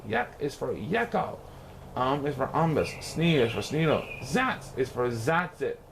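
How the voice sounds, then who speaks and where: A man reading a rhyming children's story aloud in an expressive, playful, sing-song way. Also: mid-range voice, unhurried, background noise. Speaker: picture book reader.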